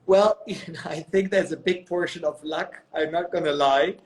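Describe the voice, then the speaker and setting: A man's voice: fairly high pitch, moderate pace. Chatty man in his thirties. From Germany. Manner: chatty and storytelling, lots of "you know" and "um". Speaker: guest, company executive. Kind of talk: casual interview on a live video call. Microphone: video call.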